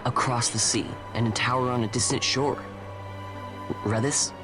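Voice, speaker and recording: An actor playing an eager youth on a quest in a fantasy video game, with a casual, questioning manner. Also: male voice, mid-range voice, fairly even intonation, normal speed, music in the background, natural voice. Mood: eager, unsure.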